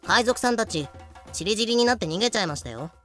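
A woman's voice: mid-range voice, animated, fast, a bit bright, faint music behind, natural voice. A woman playing a polite, unflappable tavern keeper with dry manners in an anime-style audio drama. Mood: insistent.

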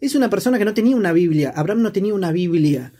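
A man's voice: high-pitched, expressive, rapid-fire, dark tone. A man with an Argentine accent explaining his views in a casual discussion, reflective and asking rhetorical questions. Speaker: discussion participant.

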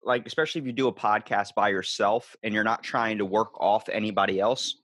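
A young man hosting a casual podcast interview, telling crude jokes and stories with animated energy. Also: fairly low pitch, a little fast, no room sound, studio quality. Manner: animated, crude joking storyteller. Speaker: podcast host.

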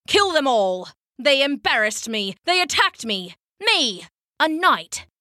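A woman's voice: on the high side, sing-song, measured pace, pushed and bright, no room sound, clean studio sound, full character voice. A woman voicing an arrogant, spiteful male knight in a storybook tale, pompous and sneering. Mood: indignant, contemptuous. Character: arrogant, spiteful, whining adult male knight, indignant and cruel. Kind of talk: storybook character acting.

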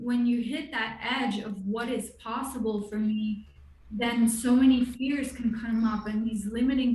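A woman coach talks breezily and upbeat, leaning on casual fillers. Her voice sits a little high. The recording carries a noticeable room echo. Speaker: manifestation coach, guest. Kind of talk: conversational interview.

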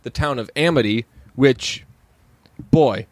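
A man's voice: medium pitch, takes it slowly, no echo. A man co-hosting a podcast, rambling in casual chat with lots of fillers. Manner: rambling, full of fillers, puts on silly voices in quotes. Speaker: podcast co-host.

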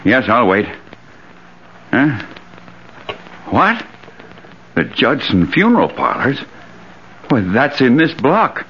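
A man's voice: medium pitch, big pitch swings, slow pace, pauses often. An actor playing a paranoid, hard man in fear of murder, agitated and stammering in old radio melodrama style. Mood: panicked. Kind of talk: 1940s radio thriller melodrama acting. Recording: muffled, hissy old radio recording.

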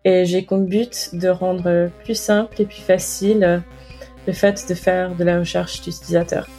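A woman answering interview questions in a halting way, with many fillers. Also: fairly low pitch, level tone, mellow, faint music behind. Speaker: research operations manager.

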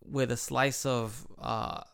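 Thirties man from Chicago, Midwest accent with a slight Mexican tinge, loose rambling vlog delivery, gravelly. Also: medium pitch, fairly even intonation, slow, a bit bright. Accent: Midwest American with a slight Mexican tinge. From Chicago, United States. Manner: rambling, chatty, frequent restarts. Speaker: vlogger living in Japan. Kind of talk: casual personal vlog.

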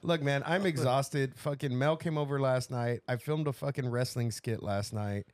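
A man's voice: medium pitch, normal speed, darkish tone, dry recording. Congested, profane podcast co-host man. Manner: profane, laid-back. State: congested from a sinus infection. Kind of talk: casual chat.

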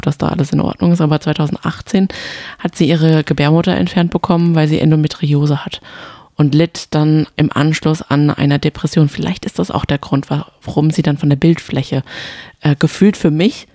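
Woman podcaster chatting about reality tv, soft dark tone w/ some vocal fry, bit hesitant. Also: low-pitched, no room sound, studio quality. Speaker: podcast co-host. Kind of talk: casual chat about reality TV.

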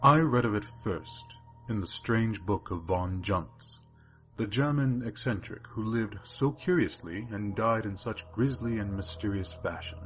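A man giving a grave, deliberate dramatic reading of classic horror fiction. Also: fairly low pitch, fairly bright tone. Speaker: story narrator. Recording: dull lo-fi track, faint music.

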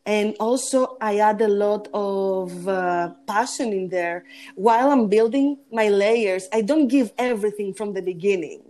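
A woman with a deep, gritty voice, a singing competition judge talking in a casual interview and pausing often on fillers. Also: warm tone. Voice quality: deep voice with grit. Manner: hesitant, pauses with fillers.

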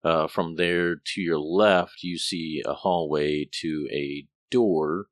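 A man with a very low voice narrates a tabletop game as its game master. He rambles and restarts a lot, and the sound is dry and close. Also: fairly bright tone, dry recording. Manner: rambling, hesitant with many fillers.

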